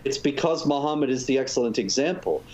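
A man, a guest author, explaining points in a measured way during a talk show interview. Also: medium pitch, lively intonation, darkish tone. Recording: dull lo-fi audio with faint noise.

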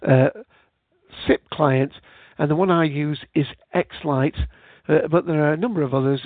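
Gravelly man hosting over a tinny line. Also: fairly high pitch, no room sound. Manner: rambling, with frequent uh fillers. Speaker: podcast host. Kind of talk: casual solo podcast talk. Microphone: USB headset.

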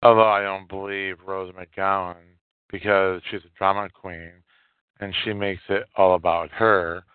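A man hosting a solo podcast, giving opinionated commentary in halting, emphatic phrases with a lot of repetition. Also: low voice, level tone, smooth, no echo. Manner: coarse, swearing. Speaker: podcast host. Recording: narrow phone-like line, dry.

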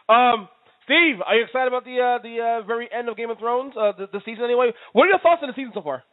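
A man's voice: very high-pitched, fast talker, no room sound. Stammering laid-back male host. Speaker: podcast host. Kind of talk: casual sports talk. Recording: phone-like lo-fi audio.

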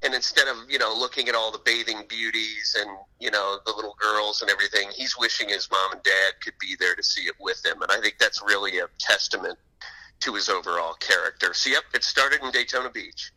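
A man's voice: fairly low pitch, a little fast, bright, forceful tone, poor audio. A man speaking as an interview guest and author, in a conversational storytelling style.

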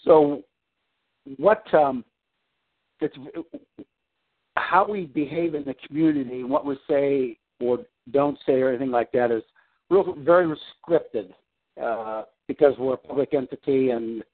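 A man's voice: medium pitch, unhurried. A man on a phone call from home, talking about his work in a rambling way full of restarts and fillers. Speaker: client on a coaching call. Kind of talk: casual phone conversation. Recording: tinny phone line.

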